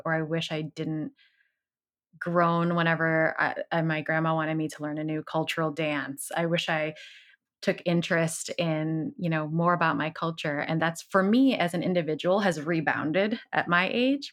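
Middle-aged woman, podcast host, very low voice, earnest, measured. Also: a bit bright. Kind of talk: casual interview chat.

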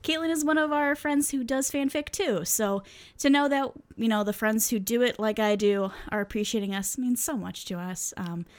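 A woman's voice: a little high, moderate pace. A young woman co-hosting a podcast, chatting casually with lots of fillers and laughs, swearing freely. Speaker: podcast co-host.

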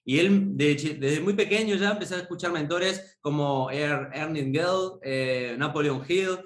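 A man's voice: on the high side, measured pace. A man giving emphatic, enthusiastic answers as a guest in a conversational podcast interview. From Argentina. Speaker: podcast guest, motivational entrepreneur. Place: remote call from a room. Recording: echoey room.

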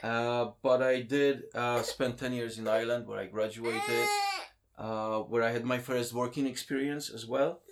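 A man from Poland talks as a guest in a relaxed interview. He is in his thirties, with a medium-pitched voice, and he talks hesitantly, full of ums and restarts. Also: measured pace. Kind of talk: casual interview.

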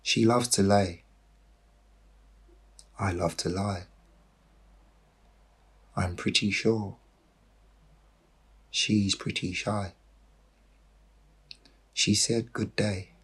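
A man reading his poem aloud in short, measured phrases, with a husky voice. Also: deep voice, fairly bright tone. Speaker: poet reading own poem. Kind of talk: poetry reading.

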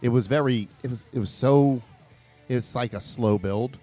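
Regular guy podcast cohost, average pitch, chatting loosely, swears a bit, faint music in back. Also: normal speed, warm tone. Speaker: podcast co-host. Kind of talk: casual chat.

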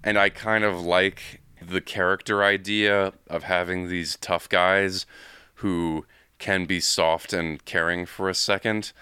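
A man co-hosting a podcast, explaining films in a casual, measured way with asides. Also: deep voice, flat delivery, sharp tone, smooth, no room sound. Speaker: podcast co-host. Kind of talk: casual film discussion.

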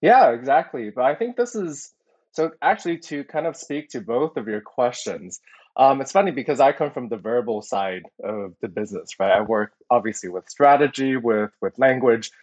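A man's voice: medium pitch, expressive, normal speed, a little mellow, breathy edge. A man speaking as a guest on a podcast, friendly and thinking aloud in casual interview talk.

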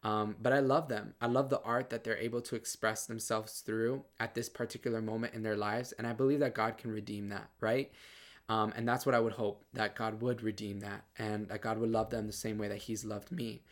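Earnest soft-voiced man giving testimony. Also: lowish voice, level tone, a little fast. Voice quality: soft, somewhat feminine-sounding voice. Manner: earnest, lots of fillers and repeated starts. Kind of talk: casual personal testimony.

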